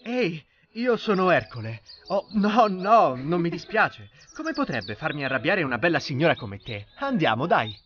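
A man's voice: fairly high pitch, moderate pace, hardly any pauses, lo-fi sound, slight background noise. A man voicing a friendly young male hero in a cartoon, talking eagerly. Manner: eager, lively. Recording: music behind voice.

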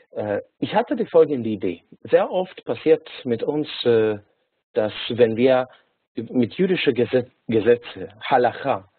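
A man from Hungary speaking with a Hungarian accent, teaching an online lesson on a video call, halting with many filler sounds and restarts. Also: medium pitch, breathy edge, no echo. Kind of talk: online lecture. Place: small indoor room. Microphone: webcam or laptop mic on a video call. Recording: tinny phone-like video call.

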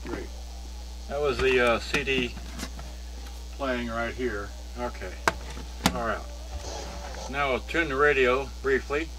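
A man's voice: medium pitch, slow pace, pauses often. A man giving a casual, unscripted hands-on demonstration, rambling and half muttering to himself as he handles things. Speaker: presenter of a product review. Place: indoors at a cluttered wooden workbench. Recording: music and electrical hum behind. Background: music, mains hum.

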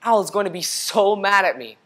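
A man's voice: high-pitched, expressive, normal speed, sharp tone, pitched above the performer's own voice, heavily acted. A young man voicing a naive, anxious donkey in a children's storybook, with earnest, fretful exclamations. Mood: worried.

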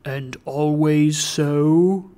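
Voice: fairly even intonation, extremely slow, dark tone. A narrator voicing a stern, ancient goblin spirit of a great bell in a storybook, speaking in grave, accusing oratory. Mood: stern questioning. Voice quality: low, deep.

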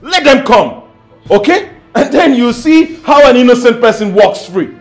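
A man's voice: very high voice, animated, measured pace, faint music behind, heavily acted. An actor with a Nigerian accent playing a slippery, indignant conman who acts innocent in a screen drama. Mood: smug, evasive. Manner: evasive, wheedling, indignant.

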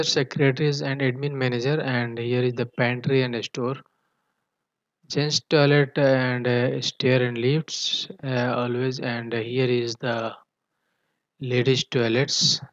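A man giving a technical tutorial in a halting manner, often punctuating his explanations with a checking "okay". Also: mid-range voice, no echo. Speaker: engineering tutor. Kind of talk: tutorial walkthrough of technical drawings. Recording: dull, muffled lo-fi voice track.

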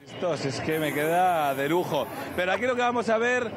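A man in his thirties from Spain with a Spanish accent, reporting live from a festival in a jokey, animated way, projecting his voice. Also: sits high, normal speed, noisy background. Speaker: TV street reporter. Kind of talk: live festival reporting, chatty street interviews. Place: outdoors on a busy village street. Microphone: handheld reporter microphone. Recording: loud street crowd and music behind.

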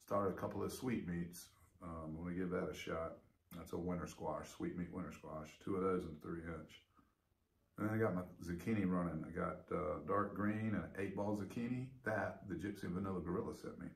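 A man's voice: low-pitched, brisk, gravelly. A middle-aged man chatting casually and rambling with lots of fillers as he gives a garden update. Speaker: gardening vlogger. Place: indoors by a big window with seedlings; yard walk outdoors. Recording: roomy echo, faint noise.

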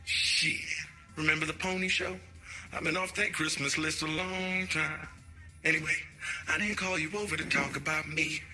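A flamboyant pimp in a video game, high-pitched and brash, with an American accent and an electronic-sounding voice. He swaggers through slangy street talk over music. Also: steady tone, normal speed. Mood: cocky. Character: flamboyant middle-aged male pimp, brash and jovial. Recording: music behind the voice.

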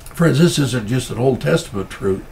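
Stuffy-voiced man preaching, gravelly tone. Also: mid-range voice, lively intonation, darkish tone, slight background noise. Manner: earnest, measured preaching with frequent restarts. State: stuffy nose. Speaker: pastor. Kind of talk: sermon.

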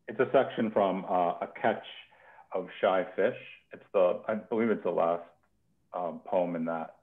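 A young man hosting a poetry reading informally, with a halting, thoughtful way of talking. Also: low voice. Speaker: host of a poetry reading series. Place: home kitchen. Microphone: headset on a video call. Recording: phone-like narrow-band video call.

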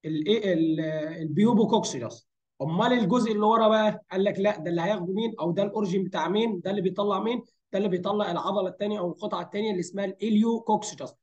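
A man's voice: high voice, very fast, darkish tone, lo-fi sound. A man with an Egyptian Arabic accent and a tired, strained voice gives an informal tutorial, mixing in English medical terms and answering his own questions. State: hoarse, strained voice from fatigue. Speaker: anatomy lecturer. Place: voice-over for a screen recording.

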